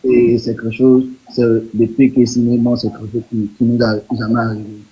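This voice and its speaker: A man on a live stream, telling how his younger sister went missing, speaking haltingly and repeating words. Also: medium pitch, level tone, mellow, breathy edge, poor audio.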